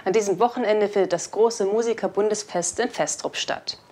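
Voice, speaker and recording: A woman's voice: medium pitch, fairly quick, a little mellow. A young woman reading scripted news as a video presenter, on a lapel mic. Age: young adult.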